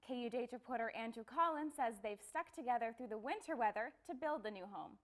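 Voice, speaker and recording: A woman's voice: a little high, fast talker, fairly bright tone. A woman with an American accent reading a scripted introduction as a television news anchor.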